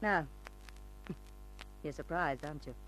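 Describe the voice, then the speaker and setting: A woman's voice: low-pitched, slow, a bit bright, rough recording. An actress playing a brash, slangy, eccentric woman with a shrewd side in an old-time radio drama. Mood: businesslike, confiding. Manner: slangy, bold, theatrical. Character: brash eccentric older woman, street character secretly shrewd and refined. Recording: old radio recording with music.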